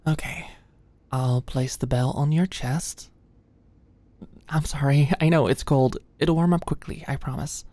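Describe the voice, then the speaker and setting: A low-pitched young female voice, close and very dry, a woman acting as a nervous, awkward medical student in an ASMR roleplay. She is attentive but hesitant and easily flustered, tripping over her words. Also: a little slow, dark tone, no room sound. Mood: careful, nervous. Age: young adult. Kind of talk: ASMR roleplay, intimate scripted one-sided dialogue. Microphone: close studio-style mic, dry.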